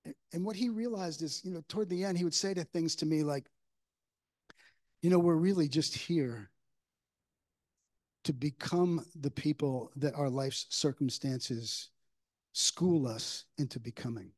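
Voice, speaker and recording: Higher-voiced older male preacher. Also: a little slow, long pauses, darkish tone. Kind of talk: conversational sermon. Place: church sanctuary, at a wooden lectern. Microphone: microphone on a stand at the lectern.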